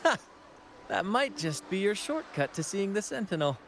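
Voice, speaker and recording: Flamboyant ship host, cheerful, slightly high, animated. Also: male voice, normal speed, a little hiss or hum, heavily acted. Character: showy cheerful male ship's host.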